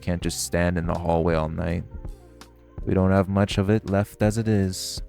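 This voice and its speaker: Man playing a deep, gravelly, cocky male vampire. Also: steady tone, moderate pace, a little mellow, faint music behind. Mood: impatient, teasing. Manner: smug, taunting, drawling, playfully menacing. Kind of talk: intimate roleplay audio drama.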